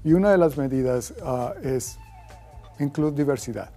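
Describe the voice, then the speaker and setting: A man in his fifties answering interview questions about technology in a measured, deliberate way. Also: medium pitch, warm tone, soft background music. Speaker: tech expert.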